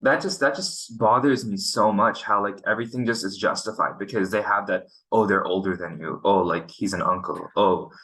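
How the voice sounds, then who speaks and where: A man's voice: on the low side, fairly even intonation, normal speed, hardly any pauses, a bit bright, rough recording. A young man from Pakistan hosting a casual podcast chat, earnest and frustrated, often restarting his sentences. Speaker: podcast host. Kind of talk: casual conversation.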